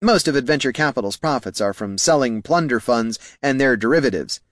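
A man voicing a timid, deferential fantasy clerk with a squeaky, anxiously formal manner in an audiobook. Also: lively intonation, fairly quick, a bit bright, no echo, clean studio sound, lightly acted. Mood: anxious, dutiful. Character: nervous, deferential male Scribkin financial clerk.

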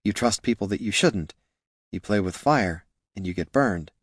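A man voicing a weary veteran female elf ranger who gives calm, wry advice in a storybook reading. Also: on the low side, normal speed, slightly rough, no echo, studio quality.

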